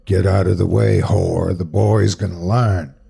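A drunken, violent father, as a man plays him growls his lines slowly in a low, dark voice. His speech is sullen and heavy, with a husky grain. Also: fairly even intonation, full character voice. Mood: menacing. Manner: slow, sullen, menacing.

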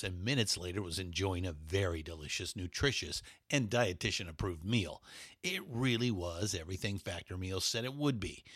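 Low, bright, raspy male ad reader. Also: no echo, studio quality. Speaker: podcast network host reading an ad. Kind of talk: personal-story ad read.

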